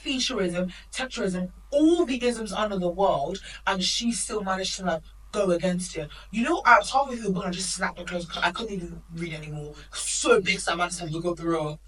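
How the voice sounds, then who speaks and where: A woman's voice: on the low side, a bit bright, recorded from a distance, low-quality recording. A woman of Nigerian heritage, co-hosting a podcast in emphatic, opinionated casual chat. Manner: opinionated, emphatic, quick asides. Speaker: podcast co-host.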